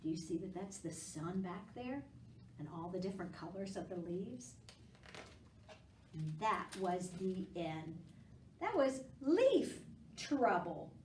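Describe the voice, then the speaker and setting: A woman's voice: lowish voice, sing-song, takes it slowly, pauses often, darkish tone, a little hiss or hum. A woman hosting library storytime, reading aloud and chatting expressively and coaxingly to young children. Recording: echoey room, distant microphone.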